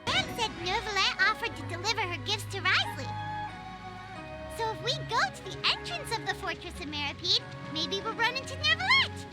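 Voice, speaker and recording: A tiny bubbly fairy companion from a video game, squeaky and extremely high. The delivery swoops wildly with chirpy, childlike excitement, over playful music. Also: female voice, a little fast, exaggerated voice. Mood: curious, explaining. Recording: music behind the voice.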